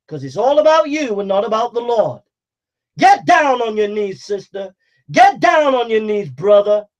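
Fiery high-voiced preacher man. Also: muffled recording. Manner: urgent, scolding, repetitive. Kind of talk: fiery confrontational sermon.

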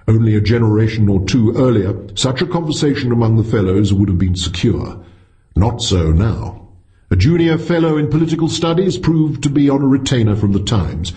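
Casting: male narrator for literary fiction, medium pitch, animated melodic delivery. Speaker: audiobook narrator.

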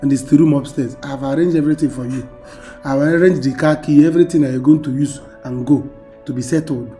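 An actor with a Nigerian accent playing a stubborn, reluctant suitor who insists and repeats himself in a film drama. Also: male voice, medium pitch, steady tone, fast, dark tone, faint music behind, lightly acted. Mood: firm refusal.

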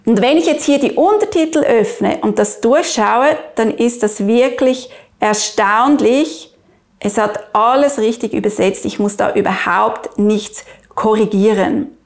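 A woman in her forties with a Swiss accent presents a how-to tutorial. Her voice sits fairly high, and she speaks straight to the viewer, taking each step clearly in turn. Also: measured pace. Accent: Swiss German. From Switzerland. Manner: clear, instructional, addresses the viewer directly.

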